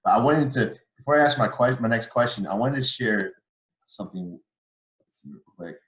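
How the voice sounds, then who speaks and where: A man's voice: medium pitch, expressive, normal speed, fairly bright tone, roomy sound, rough recording. A young man hosting an online interview, asking casual, rambling questions with frequent hesitations. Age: young adult. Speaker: interviewer host.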